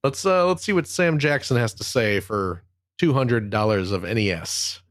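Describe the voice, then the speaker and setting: A man's voice: medium pitch, lively intonation, moderate pace, fairly bright tone, no room sound, studio quality. A man co-hosting a podcast, chatting casually through a quiz. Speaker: podcast co-host. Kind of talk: casual quiz-show chat.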